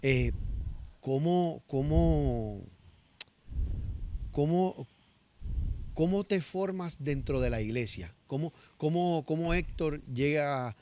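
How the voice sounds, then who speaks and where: A man's voice: fairly high pitch, takes it slowly, pauses often, warm tone, slight background noise. A man with a Puerto Rican Spanish accent interviewing casually on a podcast, often repeating words as he forms his sentences. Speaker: guest interviewer.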